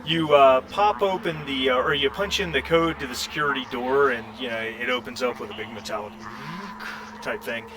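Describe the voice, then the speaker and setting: A man's voice: medium pitch, brisk, noisy background. A man talking conversationally as the game master of a tabletop role-playing game, narrating scenes and guiding players.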